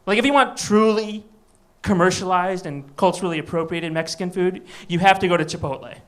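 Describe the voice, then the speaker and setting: A man's voice: high voice, fairly quick. A man from Michigan doing a live stand-up set on a comedy club stage, bantering wryly with the crowd. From Michigan, USA. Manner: wry, chatty crowd banter.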